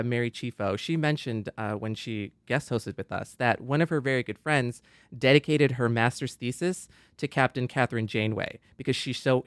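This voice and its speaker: A woman hosting a podcast discussion in an earnest, measured conversational way. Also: a bass voice, no echo. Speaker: podcast host.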